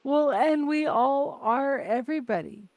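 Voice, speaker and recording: A woman's voice: high voice, measured pace, a little mellow, no echo. A woman hosting a podcast from Canada, chatty and laughing often, full of "like" and "right?". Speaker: podcast host. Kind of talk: casual conversation.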